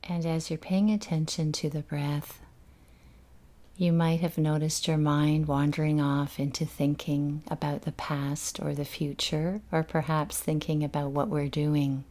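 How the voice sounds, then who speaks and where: Slow, low-voiced woman guiding meditation. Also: little pitch movement. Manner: gentle, unhurried, soothing instruction with long pauses. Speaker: meditation guide. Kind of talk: guided meditation.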